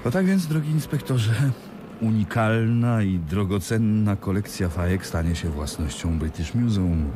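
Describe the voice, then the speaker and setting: Young male heir for radio drama, polite and earnest, lowish voice over faint vehicle ambience. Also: lively intonation, measured pace, background noise. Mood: wry, resigned. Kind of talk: Polish radio play acting.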